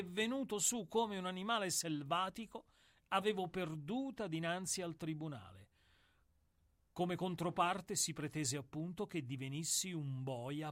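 An actor in a radio play playing an old, world-weary executioner who speaks in a deliberate, declamatory way with weighted pauses. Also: male voice, a little high, fairly bright tone. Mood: reflective, confessional. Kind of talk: dramatic radio play monologue.

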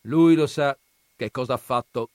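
A man's voice: medium pitch, normal speed, darkish tone, dry recording, voice pushed up, close to an everyday voice. Male reader as curt middle-aged uncle, grudging, dry close read.

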